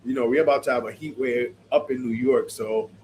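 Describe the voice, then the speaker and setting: A man's voice: medium pitch, a little fast, a little hiss or hum, rough recording. A man hosting a podcast, chatting casually about fashion looks, sounding stuffy and sniffly from allergies. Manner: chatty, animated, many fillers. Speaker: podcast host. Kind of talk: casual fashion review chat.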